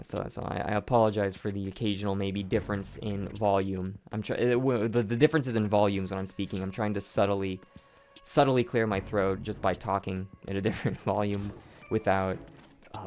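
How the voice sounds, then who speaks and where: A hoarse man with a fairly low voice talks through his stealth-game speedrun in a loose, explanatory way. He stumbles and restarts his phrases as he goes, and faint game music plays under him. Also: steady tone, brisk, a little mellow. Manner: explanatory, rambling, with frequent restarts and profanity. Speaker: gameplay commentator. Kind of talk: casual speedrun commentary.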